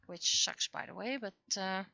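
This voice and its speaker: A woman livestreamer chatting casually and haltingly, muttering to herself as she thinks aloud, on dull lo-fi audio. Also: medium pitch, creaky, sharp tone, no room sound. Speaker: livestreamer, webcomic artist.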